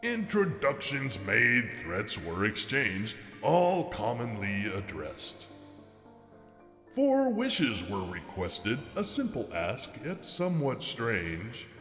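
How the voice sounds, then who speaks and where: Man voicing a sly, gloating demon, deliberate and echoing. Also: medium pitch, wildly swooping pitch, a bit bright, heavily acted. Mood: smug, mock-courteous. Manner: theatrical, pompous, legalistic, drawn-out emphasis. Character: sly, gloating male demon, mock-courteous trickster in business suit. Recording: echoey reverberant hall effect, faint music.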